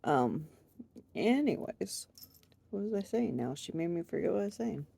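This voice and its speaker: A woman chatting casually to camera about her crochet projects, hoarse and stuffed up from a cold, rambling and laughing at herself. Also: fairly low pitch, big pitch swings, normal speed, mellow. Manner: rambling, self-deprecating with frequent laughs. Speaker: crochet vlogger.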